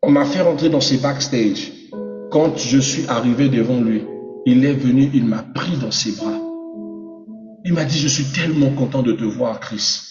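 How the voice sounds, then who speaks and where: A man preaches with fervour, his voice climbing to emphatic peaks as he addresses the congregation. He sounds distant from the mic, and the dull recording carries a long hall reverb over steady music. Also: medium pitch, normal speed. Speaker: apostle preaching. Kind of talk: sermon teaching with personal testimony.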